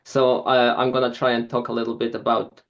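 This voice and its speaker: Man, thirties, mid-pitched voice, halting presentation full of ums and uhs, dull lo-fi recording. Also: level tone. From Poland. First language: Polish. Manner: hesitant, lots of filler sounds. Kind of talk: informal club presentation.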